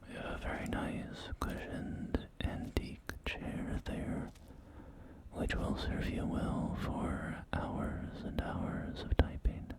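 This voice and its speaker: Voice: slow, lightly acted. A performer voicing a polite, eager post-apocalyptic real estate agent in a whispered ASMR roleplay, with courteous, hesitant sales patter. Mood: politely promotional. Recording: loud music bed under close whispering. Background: music and game audio.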